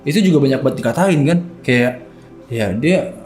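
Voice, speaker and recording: A man's voice: medium pitch, expressive, normal speed, darkish tone. A man in his twenties with a Jakartan Indonesian accent chats casually in slang, repeating words for emphasis. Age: 20s. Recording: echoey room with music underneath.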